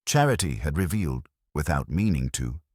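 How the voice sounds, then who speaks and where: A man with an exceptionally low voice narrates a mystery novel in a close, dry recording. His delivery is measured and understated, yet the pitch moves with lively variation through each phrase. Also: no echo. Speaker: audiobook narrator.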